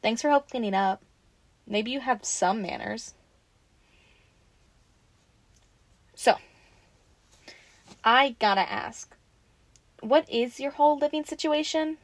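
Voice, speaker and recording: A woman's voice: a little high, a little slow, pauses often, dry recording. A woman playing a teasing, bossy but caring vampire in an audio roleplay, talking informally and expressively to the listener. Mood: curious. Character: teasing, sassy, caring female vampire taking in a newly turned one.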